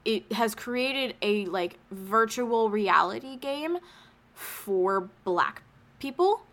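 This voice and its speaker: A woman's voice: fairly high pitch, lively intonation, takes it slowly, a bit bright. A young woman co-hosting a podcast in casual chat, laughing often and a little sniffly from allergies. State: stuffy allergies. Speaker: podcast co-host.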